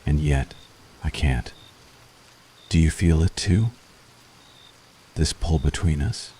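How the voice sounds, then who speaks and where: A man playing a brooding, conflicted witch hunter in an intense, deliberate ASMR roleplay monologue. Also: very deep voice, mellow, slight background noise. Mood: torn, confessional.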